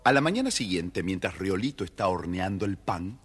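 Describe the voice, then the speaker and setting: A man's voice: deep voice, animated, normal speed, fairly bright tone, breathy edge. A man narrating a scripted children's story expressively.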